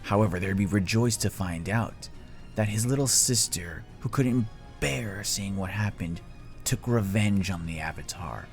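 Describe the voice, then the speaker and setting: A man voicing a cold, scheming middle-aged tyrant king in a fan story, speaking slowly and gravely in a hushed, breathy murmur. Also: lowish voice, soft background music. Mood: menacing, scheming. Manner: slow, grave, menacing, deliberate pauses.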